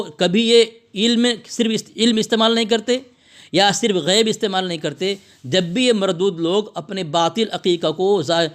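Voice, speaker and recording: A man's voice: sits high, fairly bright tone. A man arguing religion on a phone call in a combative, abusive, lecturing way, repeating his phrases for emphasis. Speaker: religious debater. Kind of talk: polemical religious argument over a phone call.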